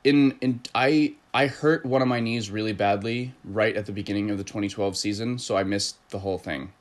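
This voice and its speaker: A man hosting a casual online chat, talking in a relaxed, conversational way with fillers. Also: on the low side, fairly quick. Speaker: host.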